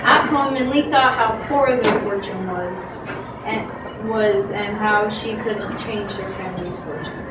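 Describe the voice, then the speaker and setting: Loud clatter, echoey room, girl narrating a story in medium pitched voice. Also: a little slow, distant mic, very noisy. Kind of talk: amateur readers theatre narration.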